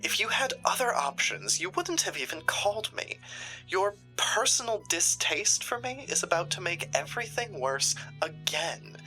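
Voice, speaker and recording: A woman's voice: medium pitch, big pitch swings, normal speed, pushed and bright, soft background music, low-quality recording. A woman playing a haughty, sardonic, ruthless occult shopkeeper in a theatrical, condescending drama style. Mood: scolding. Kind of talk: dramatic audio roleplay acting.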